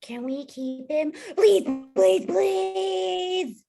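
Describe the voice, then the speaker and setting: Young cartoon boy, voiced by an actor, extremely high, creaky, excitable, whining and dragging words out slowly. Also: over music, exaggerated voice. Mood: pleading. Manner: whiny, drawn-out pleading. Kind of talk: animated film script table read.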